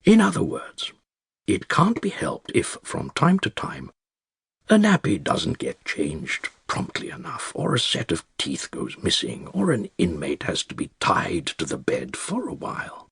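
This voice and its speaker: An actor playing an elderly male care-home resident with a British accent, narrating his secret diary in a dry, wry, measured and articulate way with a gravelly, husky voice. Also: on the low side, wildly swooping pitch, a bit bright, dry recording, heavily acted. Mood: sardonic. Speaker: audiobook narrator. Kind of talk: scripted first-person diary narration.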